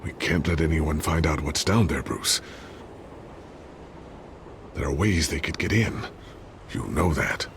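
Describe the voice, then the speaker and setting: An actor playing a loyal, worried tech expert in a video game, speaking earnestly and with measured concern. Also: male voice, rumbling low, a bit bright, a little hiss or hum, exaggerated voice. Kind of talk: dramatic video game acting.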